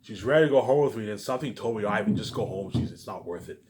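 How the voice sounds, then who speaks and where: A man's voice: on the low side, lively intonation, very fast, crowd noise. A man giving a rambling, repetitive personal monologue, restarting sentences, leaning on verbal tags and starting emphatically before trailing off into mutters. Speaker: vlogger.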